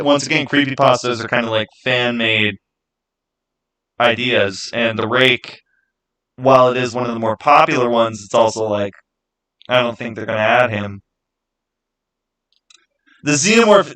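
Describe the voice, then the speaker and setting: A man's voice: medium pitch, a bit bright, poor audio. A man hosting an unscripted, casual gaming commentary, stating his opinions emphatically and repeating phrases to make his point. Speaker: video host giving his opinions.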